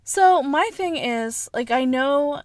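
A woman's voice: sits high, animated, slow, no room sound. Earnest young woman, dry close mic. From United States. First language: Spanish. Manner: earnest, reflective, storytelling. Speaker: podcast co-host. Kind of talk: casual chat.

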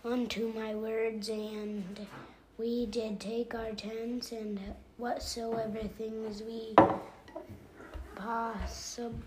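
Woman, family scripture reader, slow and halting, slightly high and flat, noisy home recording. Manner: careful, halting reading with occasional coughs and self-corrections.

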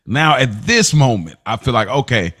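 A man co-hosting a casual podcast, rambling and animated as he acts out scenes from the show. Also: mid-range voice, moderate pace. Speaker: podcast co-host. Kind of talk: casual chat.

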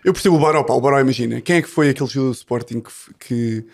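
A man's voice: medium pitch, rapid-fire, vocal fry. A man, co-hosting a football chat, slangy and scattered with mock-serious jokes. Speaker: podcast co-host.

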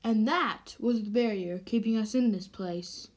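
A woman's voice: fairly high pitch, a little slow, darkish tone, pitched below the performer's own voice. A woman acting a self-assured, vain young man in an amateur radio drama, with a smug, commanding manner. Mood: patient, explaining.

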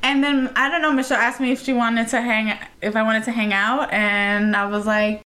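A woman's voice: fairly high pitch, little pitch movement, normal speed, pushed and bright. A young woman from the United States, a guest chatting casually, rambling and giggling with lots of "like.". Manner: rambling, giggly, heavy use of "like". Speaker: podcast guest. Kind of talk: casual chat.

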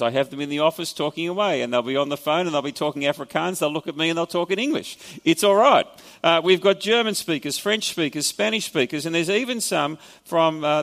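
Gravelly Australian pastor in a reverberant church. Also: male voice, on the high side, fairly bright tone. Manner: conversational, explanatory, wry asides. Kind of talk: sermon. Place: church hall.

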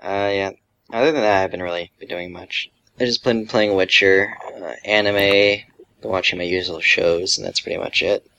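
A man's voice: sits low, steady tone, moderate pace, creaky, sharp tone, dull audio. A man speaking as a podcast co-host in casual, informal conversation.

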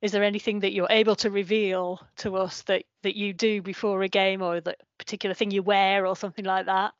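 A woman co-hosting a podcast, asking her guest questions in a friendly, chatty way. Her voice sits at a middle pitch, with a dry, slightly muffled sound. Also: little pitch movement, brisk, dry recording. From Newcastle upon Tyne, England. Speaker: podcast co-host. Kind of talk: casual interview chat.

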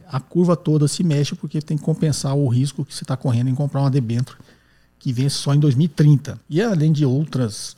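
A man hosts a podcast and answers a listener's question in an explanatory way. His voice is mellow and warm at an ordinary middle pitch, and he keeps checking in with a quick "right?" as he walks through each point. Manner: explains step by step, often tags sentences with "né?".

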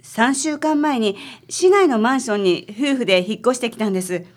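A woman's voice: on the high side, lively intonation, moderate pace, lightly acted. A woman playing a worried married client in a radio drama, speaking politely, hesitantly and apologetically. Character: timid, worried married woman seeking detective's help.

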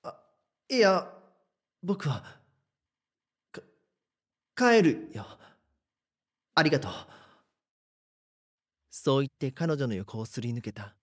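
A man's voice: medium pitch, sing-song, slow pace, pauses often, dry recording, studio quality. A man voice-acting a timid, frightened young man who narrates in the first person in an audio drama, hesitant and uneasy.

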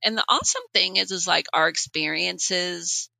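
A woman in her late forties co-hosting a podcast, telling personal stories in an animated, conversational way. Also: fairly low pitch, moderate pace, vocal fry, dry recording. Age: late 40s. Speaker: podcast co-host. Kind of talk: casual personal podcast chat.

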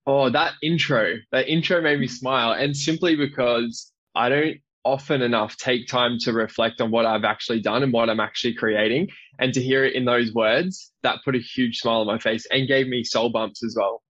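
A man's voice: mid-range voice, fairly even intonation, normal speed, hardly any pauses, a bit bright, no echo. Earnest young Australian man, slightly dull sound. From Australia, raised in Brisbane. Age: 20s. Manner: earnest, enthusiastic. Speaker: podcast guest, personal trainer and coach. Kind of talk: casual, reflective interview talk.

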